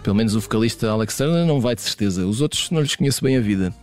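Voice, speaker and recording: A man presenting songs in casual radio chat, pausing often with fillers and restarts. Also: on the low side, expressive, over music. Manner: hesitant, many fillers and restarts.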